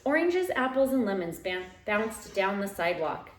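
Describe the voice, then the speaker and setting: A woman reading a children's picture book aloud at home in an expressive, dramatic way, drawing out key phrases. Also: medium pitch, moderate pace. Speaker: teacher reading aloud to young pupils. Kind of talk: animated children's storybook read-aloud. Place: living room at home. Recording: roomy, echoey home recording.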